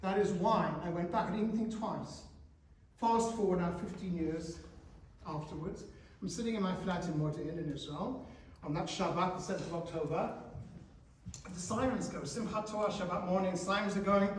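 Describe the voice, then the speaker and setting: Guy in his 40s giving a school talk, high-ish voice, booming hall echo, sounds far off, quizzes the kids. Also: slight background noise. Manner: animated, asks the audience questions. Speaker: guest speaker. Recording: echoey hall, speaker far from mic.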